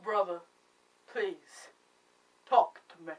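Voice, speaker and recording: A woman voicing an anguished male god who pleads slowly and earnestly with his brother in a storybook reading. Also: mid-range voice, recorded from a distance, rough recording. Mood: anguished pleading.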